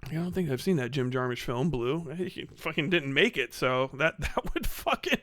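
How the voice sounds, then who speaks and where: High-voiced man, sweary livestream rambler. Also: lively intonation, normal speed, no echo, clean studio sound. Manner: sweary, rambling, thinks out loud. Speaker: live streamer reacting to videos. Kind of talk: profane casual livestream commentary.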